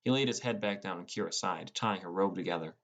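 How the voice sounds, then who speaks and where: Low-voiced male audiobook narrator. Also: fast talker, fairly bright tone. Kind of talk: first-person scripted fantasy narration.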